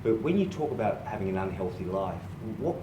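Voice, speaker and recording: A male podcast host with a fairly low voice asks his guest friendly questions, filling gaps with ums and uhs. He sounds roomy and set back from the mic, with noise all around in the gym. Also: big pitch swings, fairly quick, distant mic. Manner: friendly, calls guest mate, many fillers. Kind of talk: casual podcast interview.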